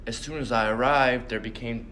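A young man who grew up in the Bahamas, answering interview questions in a relaxed way and letting his thoughts trail off softly. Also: medium pitch, moderate pace, a little hiss or hum. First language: Portuguese. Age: young adult. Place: hotel meeting room.

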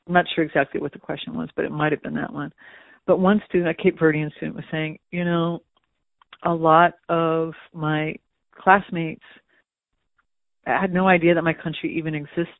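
A man's voice: fairly high pitch, gravelly, no echo. A man talking through an interview answer thoughtfully, with frequent restarts and fillers, as an educator. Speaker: museum education staff. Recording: tinny phone-like audio.

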